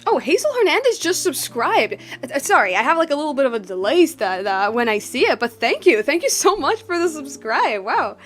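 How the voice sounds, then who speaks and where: A woman's voice: sits high, sing-song, a little fast, hardly any pauses. A woman streamer giving casual live reactions while she plays a game. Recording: game music faintly behind.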